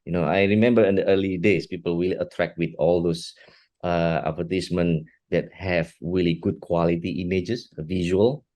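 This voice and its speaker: Deep-voiced man, halting. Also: steady tone, darkish tone. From Singapore. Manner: halting, frequent restarts and repeated words. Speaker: guest, art technologist and educator. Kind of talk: interview answers.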